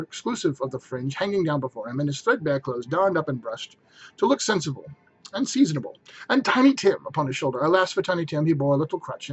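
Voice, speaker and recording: Husky, animated male storyteller. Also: on the high side, dull audio. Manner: theatrical, emphatic. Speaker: narrator. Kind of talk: dramatic storybook reading.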